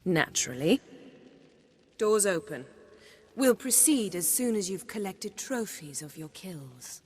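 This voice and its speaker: Cool, wry, commanding game sorceress: medium pitch, composed delivery, clear background ambience. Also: female voice, very animated, slow, darkish tone, a little hiss or hum. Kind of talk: video game voice acting.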